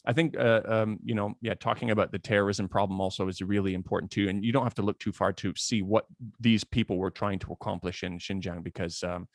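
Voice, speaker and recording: A man speaking informally and haltingly as a panelist in a casual discussion. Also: fairly low pitch, steady tone, no room sound, clean studio sound.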